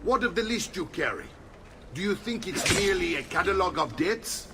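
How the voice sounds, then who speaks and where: Animated Italian mentor uncle. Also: male voice, high-pitched, moderate pace, recorded from a distance, music in the background. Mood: grave, revealing. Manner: emphatic, instructive, old-fashioned phrasing. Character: seasoned Italian uncle and combat mentor, firm and proud. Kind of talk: dramatic video game acting.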